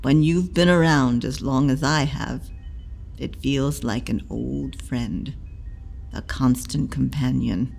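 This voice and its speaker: A woman playing an elderly matron healer who is blunt, motherly and teasing, and who talks in a slow, dry, scolding way. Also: rumbling low, vocal fry, darkish tone, exaggerated voice. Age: older. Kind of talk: audio drama acting.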